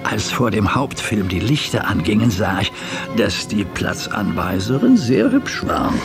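An actor playing a wry, wistful male film lover with a creaky voice, musing drily in in a radio drama. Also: on the low side, sing-song, unhurried. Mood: reminiscing. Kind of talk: scripted radio drama monologue. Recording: music behind the voice.

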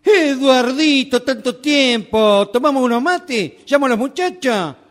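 A man's voice: very high voice, lively intonation, a little slow, a bit bright, full character voice. A man acting a supporting character in a comic radio drama. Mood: chummy. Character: firm, matter-of-fact doctor, or chummy old friend.

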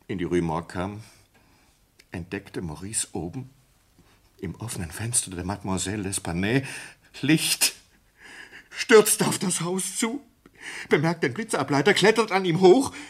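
An actor playing a distraught sailor in a German radio play, confessing in a halting, intense manner with a husky voice. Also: male voice, mid-range voice. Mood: frantic, agitated. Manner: halting, intense, swinging from hushed to agitated. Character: distraught sailor confessing a horrifying ape killing. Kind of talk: German radio play acting, dramatic monologue.

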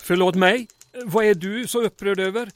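A man's voice: high voice, steady tone, faint music behind, natural voice. A man playing a wry, composed wartime town mayor with a dryly polite manner in a comic audio drama. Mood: indignant.

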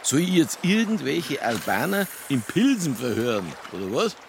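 An actor playing a grumpy, reluctant Bavarian police detective, blunt and grumbling, with a Bavarian accent in a radio drama. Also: male voice, mid-range voice, sing-song, normal speed, creaky, background noise. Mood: dismissive, grumbling. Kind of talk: naturalistic radio drama acting.

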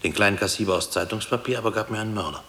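An actor playing a grave former prisoner haunted by wartime memories, speaking in a measured, reflective way in a radio play. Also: male voice, fairly low pitch, sharp tone. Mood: sombre reflection. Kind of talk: German radio play acting. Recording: hissy old radio drama tape.

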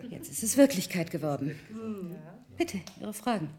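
An actress playing an ambitious computer scientist in a German radio drama, formally and theatrically presenting her invention, at times hushed and coaxing. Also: fairly low pitch, sing-song, normal speed. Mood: assured, instructive.

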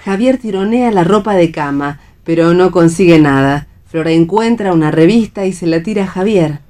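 An Argentine woman serves as narrator for a radio play reading. Her voice is low for a woman as she reads the scripted stage directions. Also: expressive, normal speed, dark tone.

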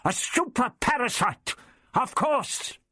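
A man voicing a grave, protective old doctor with emphatic, halting exclamations in a science fiction audiobook. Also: sits high, lively intonation, a bit bright, dry recording, studio quality, pitched above the performer's own voice, exaggerated voice. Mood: excited realization.

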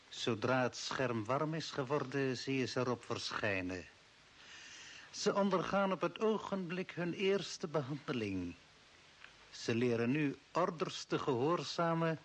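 Cold, commanding villain, hissy old radio. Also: male voice, medium pitch. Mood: commanding, controlled. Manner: commanding, scornful. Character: cold, authoritarian old Earth man loyal to the Martians. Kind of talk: older Dutch radio play acting.

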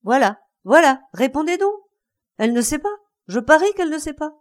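Woman acting out a frantic, enraged betrayed husband, high-pitched. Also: very animated, brisk. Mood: frenzied, despairing. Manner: breathless, insistent, vehement outbursts.

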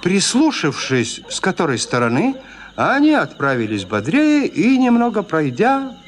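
A man narrating a classic literary tale for an audio drama in a measured, expressive storytelling manner. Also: high-pitched, a little hiss or hum.

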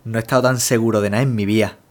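A man's voice: fairly low pitch, fairly even intonation, rapid-fire, natural voice. An actor playing an eager, lovestruck young man in an audio drama, speaking earnestly. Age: young adult.

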